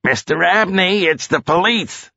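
A man voicing a brash, gruff police boss with a commanding, sardonic manner in an audiobook. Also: on the low side, animated, unhurried, a bit bright, dry recording, lo-fi sound, voice pushed up, heavily acted. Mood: bold.

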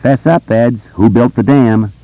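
A man's voice: medium pitch, measured pace, no echo, highs cut off, voice pushed up, natural voice. A man voicing a hyperactive, wisecracking boy show-off with a brash, teasing, theatrical manner in an audiobook. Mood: manic glee.

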